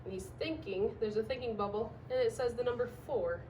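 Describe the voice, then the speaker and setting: A woman reads a picture book aloud to young children in an expressive, emphatic, sing-song way, putting questions to her listeners. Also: fairly high pitch, a little fast. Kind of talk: storybook read-aloud with sung refrains. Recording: distant microphone with faint background noise.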